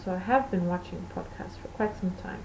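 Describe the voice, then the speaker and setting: A woman's voice: fairly low pitch, fairly quick, gravelly, a little mellow, slight background noise, low-quality recording. A woman from Portugal, a native Portuguese speaker, hosting a knitting podcast in rambling, self-correcting casual chat.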